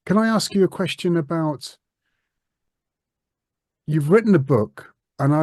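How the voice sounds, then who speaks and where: A man hosting an interview who asks his question haltingly, repeating words as he searches for it. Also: on the high side, dark tone, dry recording, studio quality. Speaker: host.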